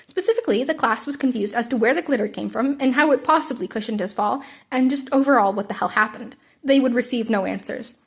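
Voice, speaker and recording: A woman's voice: fairly high pitch, fast talker, gravelly, hardly any pauses, breathy edge. A woman narrating fan fiction as an amateur audiobook, now and then stumbling and rereading a word.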